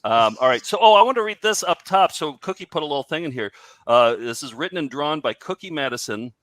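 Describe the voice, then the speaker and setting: A man hosts a loose group chat with a bright, forward voice. He is excitable and jokey, speaking casually. The sound is dry and close. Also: on the high side, a little fast, no echo. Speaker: host of a cartoon comedy show.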